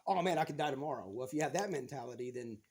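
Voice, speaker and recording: A man's voice: mid-range voice, lively intonation, racing, crowd noise. A man in his thirties, a guest on a podcast, talking frankly in casual chat and swearing freely as he retells things.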